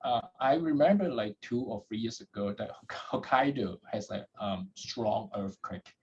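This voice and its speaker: A man from Hong Kong, a podcast guest, who answers in a halting way and repeats words as he gathers his thoughts. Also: on the low side, animated, darkish tone, husky, lo-fi sound. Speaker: guest, head of data at a startup.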